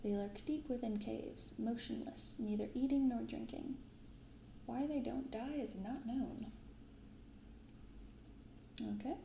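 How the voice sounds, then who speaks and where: A soft-spoken woman hosting an ASMR unboxing, reading and commenting gently and without hurry. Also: fairly high pitch, slow pace, creaky, long pauses, echoey room, slight background noise. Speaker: card unboxing video host. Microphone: binaural microphone close up.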